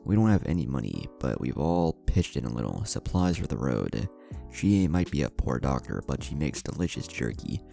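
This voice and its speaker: A man voicing a grateful, warm-hearted village husband in a narrated story. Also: very deep voice, big pitch swings, moderate pace, creaky, faint music behind, exaggerated voice. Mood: generous, teasing. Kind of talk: storybook character dialogue.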